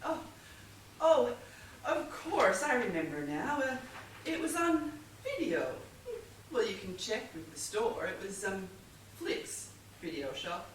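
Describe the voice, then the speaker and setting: A woman's voice: medium pitch, very animated, slow, long pauses. An actress on stage playing a wealthy, scatterbrained middle-aged widow under suspicion, airy and theatrical in her evasive innocence. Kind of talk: stage comedy acting. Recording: echoey theatre, distant mic, faint noise.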